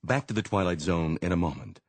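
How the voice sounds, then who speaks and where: A man's voice: deep voice, brisk, loud music behind the voice. A man reading scripted announcements and credits as a radio announcer.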